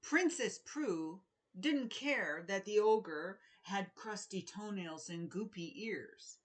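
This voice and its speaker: Slow-paced, expressive woman storyteller. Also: medium pitch. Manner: expressive, deliberate storytelling with emphasized words. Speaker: children's author reading aloud. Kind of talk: children's read-aloud and informal author talk.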